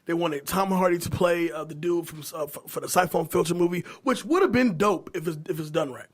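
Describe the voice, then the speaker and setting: A man with a distinctly high-pitched voice talks casually among friends on a podcast. He is opinionated and swears freely, speaking as a co-host. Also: a little fast. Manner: opinionated, profane. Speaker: podcast co-host discussing movies.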